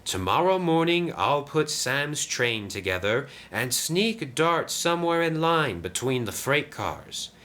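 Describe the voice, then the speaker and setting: A man's voice: medium pitch, a bit bright, voice pushed up. A man voicing a cross, scheming male diesel engine in a children's story, curt and sly.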